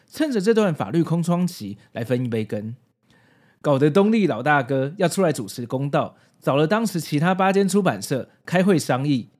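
A man in his forties speaks Mandarin with a Taiwanese accent, narrating like a video essayist. His voice sits a little high and swings widely in pitch. He explains things step by step, with nostalgic, casual asides. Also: darkish tone. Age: 40s. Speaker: host.

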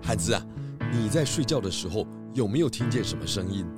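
A man playing a calm, polite pig detective who speaks in a measured, thoughtful way. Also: lowish voice, music in the background, lightly acted. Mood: gentle, inquisitive.

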